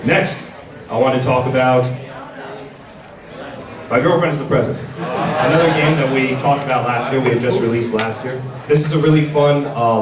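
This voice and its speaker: A man giving a convention panel presentation as a game publisher's presenter, speaking in a halting, hesitant way. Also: medium pitch. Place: large indoor convention panel room. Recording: echoey convention hall, distant mic, audience noise. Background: audience crowd.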